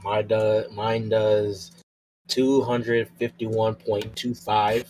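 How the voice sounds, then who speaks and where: A man at a tabletop game, chatting casually in brief, low-key replies. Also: little pitch movement, extremely slow, darkish tone, low-quality recording.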